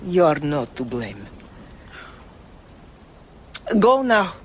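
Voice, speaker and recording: Slow, brooding, guilt-ridden conspirator. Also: male voice, fairly high pitch, huge pitch swings, slight background noise. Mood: bitter, anguished. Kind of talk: radio drama acting.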